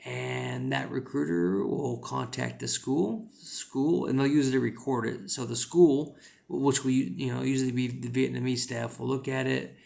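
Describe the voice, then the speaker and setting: A man's voice: medium pitch, steady tone, unhurried, vocal fry, a little mellow. A man with a rural American accent giving casual, rambling advice as an English teacher, with lots of "you know" fillers.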